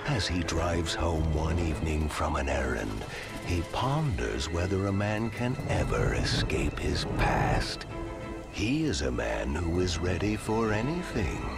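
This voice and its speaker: An actor playing an ominous, grizzled storyteller, narrating a western horror game in a slow, portentous manner. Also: male voice, heavily acted. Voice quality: very deep, gravelly. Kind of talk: dramatic scripted game narration. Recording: loud music under the voice.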